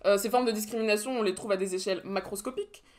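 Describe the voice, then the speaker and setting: A woman's voice: mid-range voice, fairly even intonation, very fast, darkish tone. A young woman co-hosting a podcast, talking through prepared points in casual chat with a dry, ironic edge. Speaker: podcast co-host.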